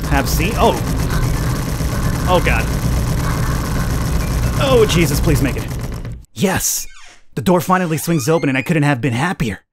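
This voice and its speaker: A man's voice: a little high, background noise. A man hosting a let's play, reading game narration dramatically and adding informal commentary. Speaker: gaming YouTuber, let's play host. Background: game music.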